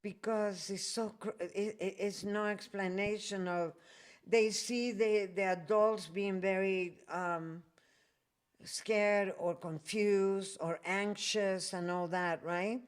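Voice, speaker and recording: A woman raised in Chile and Peru, hosting a podcast in a reflective way and often pausing to find her words. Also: mid-range voice, steady tone, clean tone. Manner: slow, reflective, searching for words. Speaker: podcast host. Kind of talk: conversational interview.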